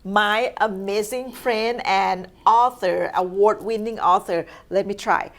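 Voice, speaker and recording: A woman hosting an interview, upbeat and asking quick follow-up questions. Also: mid-range voice, steady tone. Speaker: talk show host. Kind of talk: friendly interview.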